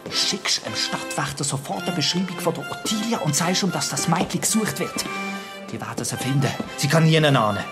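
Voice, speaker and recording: Actor as fawning court banquet master, Swiss accent, grumbling asides, swooping animated pitch, children's radio play, clear music behind. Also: fairly quick, natural voice. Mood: exasperated, bossy. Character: flattering, exasperated banquet master serving a duke. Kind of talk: German-language children's audio drama acting.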